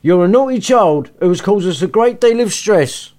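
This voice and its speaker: A stern, fed-up mother scolds her child in a raised, lively voice, as a man puts her on. She lectures sharply, with lots of lift and fall in her tone. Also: high voice, moderate pace. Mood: scolding. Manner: lecturing, indignant.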